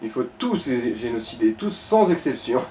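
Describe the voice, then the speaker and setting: Mellow-voiced man in an echoey room. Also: medium pitch, big pitch swings, normal speed, recorded from a distance, slight background noise. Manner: crude, sweary banter. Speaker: let's play co-commentator. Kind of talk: casual game commentary. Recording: roomy echo, game music underneath.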